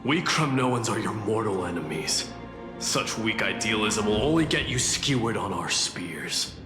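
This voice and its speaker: A man's voice: lowish voice, expressive, unhurried. A man voicing a proud, scornful warrior leader in a video game, speaking in a haughty, formal, challenging way. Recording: echoey hall reverb, light music behind.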